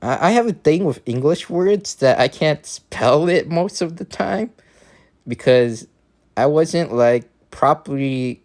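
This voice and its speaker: A man's voice: a little high, expressive, slow, a little mellow. A man with a Vietnamese accent, whose first language is Vietnamese, chatting casually on a live stream, thinking aloud with many fillers and restarts. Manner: thinking aloud while working.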